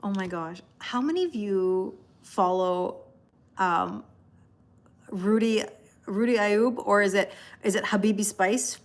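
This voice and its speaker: A woman's voice: mid-range voice, slow pace. A woman from Canada chats her way through a tarot livestream, talking to her viewers. She rambles in loose, easygoing asides, laughing often and calling out viewers by name as she reads their comments. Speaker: tarot reader and livestream host. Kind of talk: casual livestream chat.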